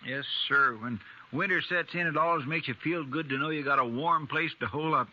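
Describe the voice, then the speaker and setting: An actor playing a folksy, deferential American deputy with a rustic drawl in a 1950s radio western. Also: male voice, fairly high pitch, a little fast, bright, forceful tone. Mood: homey, musing. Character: folksy, deferential male deputy to a frontier marshal. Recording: muffled, noisy 1950s radio recording.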